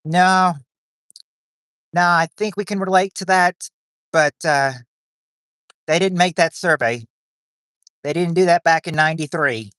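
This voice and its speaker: A man's voice: fairly high pitch, measured pace, pauses often, fairly bright tone, clear, resonant tone, no echo. A man hosting a livestream of a quiz game, rambling as he reads chat and greets viewers.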